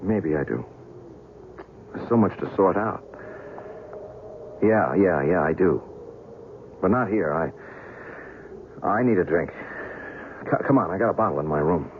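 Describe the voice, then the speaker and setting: An actor playing a shaken, halting man in an old-time radio drama. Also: low voice, animated. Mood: shaken, weary. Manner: halting, repeating himself. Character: shaken man brushing off his injury. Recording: noisy, tinny old radio recording.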